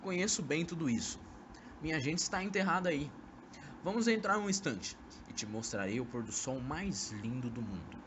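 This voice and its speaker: A man's voice: a little high, expressive, normal speed, close to an everyday voice. A man with a Brazilian accent acting a jovial, sly young suitor in an amateur radio drama, sweet-talking and teasing. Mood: coaxing. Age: young adult. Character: jovial, sly, pleading young man wooing his ex-lover. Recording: noisy amateur recording.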